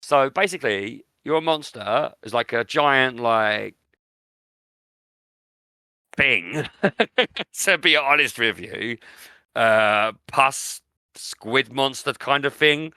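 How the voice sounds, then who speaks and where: A man's voice: mid-range voice, expressive, takes it slowly, long pauses, bright, forceful tone, smooth, no room sound, studio quality. A British man chatting casually as a podcast host, enthusiastic and often repeating words for emphasis. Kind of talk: casual chat.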